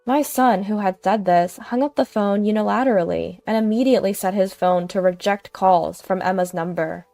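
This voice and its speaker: A woman's voice: medium pitch, moderate pace, gravelly, warm tone, no echo. A woman narrating a scripted first-person family story. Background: background music.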